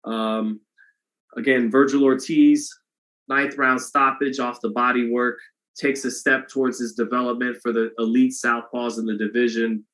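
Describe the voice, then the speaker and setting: Male boxing host on a livestream, mid-pitched, monotone, rambling and casual with the chat. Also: normal speed, rough recording. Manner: monotone delivery, self-described; loose and rambling, many restarts.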